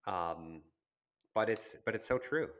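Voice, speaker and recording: A man's voice: lowish voice, takes it slowly, a bit bright, slight background noise. A man co-hosting a conversational podcast about business, talking in an explanatory, anecdotal way. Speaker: podcast co-host discussing marketing.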